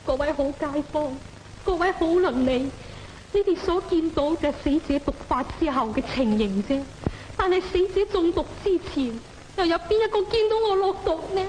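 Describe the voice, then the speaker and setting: Wronged young widow, high voice, plaintive declamation, hissy vintage opera film. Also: female voice, animated, moderate pace, darkish tone, low-quality recording. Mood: aggrieved pleading. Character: accused young widow, aggrieved, pleading innocence. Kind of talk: old Cantonese opera film acting. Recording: old film soundtrack with hiss.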